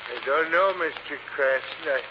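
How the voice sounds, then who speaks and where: A deferential, dopey comic cab driver from an old radio mystery, played by a man. His voice is high, on a muffled and noisy recording. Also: moderate pace, lightly acted. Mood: apologetic, groggy. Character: humble, chatty, dopey male cab driver. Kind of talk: 1940s radio acting.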